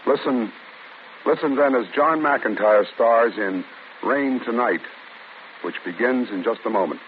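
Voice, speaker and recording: A man introducing a radio thriller, grave and measured in a scripted 1940s manner. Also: mid-range voice. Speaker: radio drama host. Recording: tinny old radio broadcast with hiss.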